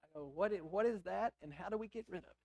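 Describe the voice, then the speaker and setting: A middle-aged man preaching. His voice is hoarse and raspy yet sits fairly high. He tells stories in a conversational way, acting out the lines of dialogue. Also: quick pace, a little mellow. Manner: conversational storytelling with quoted dialogue. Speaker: pastor. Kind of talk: sermon.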